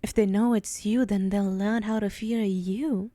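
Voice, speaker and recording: A woman's voice: medium pitch, steady tone, a little slow, warm tone, dry recording, natural voice. A woman voicing a vain, sly demon in an ASMR roleplay, speaking in a haughty, teasing, theatrical way with sighs. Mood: incredulous. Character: vain, sly female demon striking soul bargains. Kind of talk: ASMR roleplay monologue to the listener.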